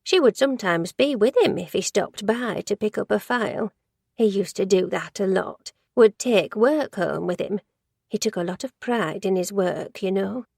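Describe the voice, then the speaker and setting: A woman's voice: medium pitch, expressive, moderate pace, gravelly, darkish tone, slightly rough, no echo, studio quality. A woman voicing a gossipy, judgmental older woman who works in an office, rambling and easily sidetracked in a storybook reading.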